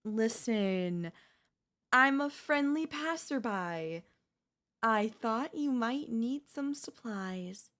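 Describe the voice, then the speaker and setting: Woman playing a slow, wheedling chatty zombie woman. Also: fairly high pitch, expressive, a bit bright, heavily acted. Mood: coaxing, feigned friendliness. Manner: wheedling, conversational, with hesitant slips and self-corrections. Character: chatty, falsely friendly female zombie coaxing a survivor outside. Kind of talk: roleplay audio acting addressed to the listener.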